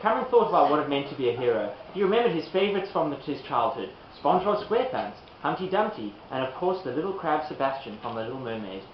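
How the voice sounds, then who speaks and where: A man with a high, lively voice reads comic narration and slips into giggly stage directions. He is amused by his own material. The recording is muffled and noisy, in an echoey room. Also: fast talker, few pauses. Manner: giggly, amused, often breaking off to prompt performers. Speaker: narrator of a puppet show. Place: live performance in an echoey room.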